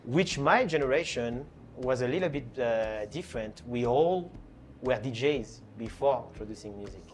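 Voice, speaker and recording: A man's voice: medium pitch, expressive, normal speed, slight background noise. A man from France with a French accent, a DJ chatting casually in an interview, with lots of fillers and restarts. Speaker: DJ and producer guest.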